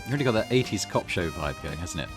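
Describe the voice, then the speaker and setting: A man's voice: low voice, lively intonation, fast talker. A man hosting a gaming video, reading game text aloud with casual commentary. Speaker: gaming channel host. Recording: music behind the voice. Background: game music.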